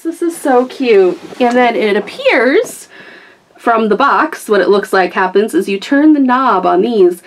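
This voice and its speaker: A woman hosting a casual toy review, talking through it in an enthusiastic, gushing manner. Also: mid-range voice. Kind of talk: casual unboxing and demonstration chat.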